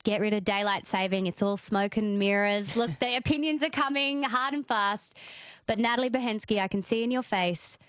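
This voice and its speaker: An Australian woman hosting a talk radio show, brisk and upbeat as she moves the chat along. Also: mid-range voice, fairly bright tone, dry recording. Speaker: radio host.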